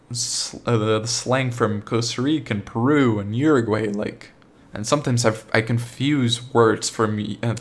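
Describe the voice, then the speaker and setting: Laid-back young man, low voice, rambling. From Argentina. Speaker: podcast host. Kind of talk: casual solo podcast monologue.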